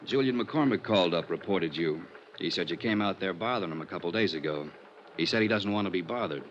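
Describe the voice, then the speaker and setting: A man's voice: low voice, animated, fast. An American man acting a plain-spoken, weary police lieutenant in a 1950s radio drama, blunt and matter-of-fact. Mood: grumbling. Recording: muffled vintage radio recording, loud noise.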